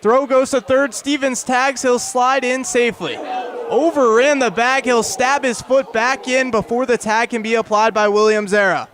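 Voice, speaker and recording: A man's voice: extremely high pitch, fairly even intonation, moderate pace, fairly bright tone. A young man doing live radio baseball play-by-play, with vivid descriptive calls that rise with the action. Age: young adult. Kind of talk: live radio sports commentary. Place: outdoors at a ballpark.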